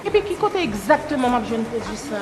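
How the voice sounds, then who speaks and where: A woman's voice: on the high side, big pitch swings, fast, in a large hall, background noise. A woman voicing a curious friend in a radio ad, eager and questioning. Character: curious woman friend asking about investing. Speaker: radio ad character.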